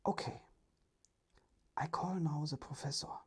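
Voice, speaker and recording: A storyteller voicing a friendly, considerate male family member in a breathy ASMR storybook whisper. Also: mid-range voice, fairly even intonation, unhurried, pitched above the performer's own voice.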